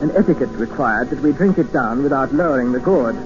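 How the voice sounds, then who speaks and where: An actor playing an educated English captive, narrating and speaking in an earnest, formal, dramatic 1950s radio style. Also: male voice, medium pitch, animated, fairly quick, lightly acted. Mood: grave, reflective. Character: desperate, educated male English captive expedition member, narrating. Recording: muffled old radio recording with music.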